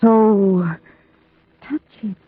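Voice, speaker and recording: Languid, seductive vampire woman, very slow. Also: mid-range voice, fairly even intonation, husky, dry recording. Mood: hypnotic, teasing. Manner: languid, alluring, drawn-out. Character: seductive, predatory female vampire. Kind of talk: old-time gothic radio acting. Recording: tinny, narrow vintage radio recording. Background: music.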